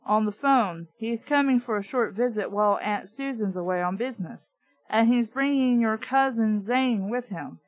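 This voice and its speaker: A woman's voice: on the high side, normal speed, natural voice, rough recording. A woman voicing a joking, mildly scolding father in a children's storybook, breezy and teasing. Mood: announcing.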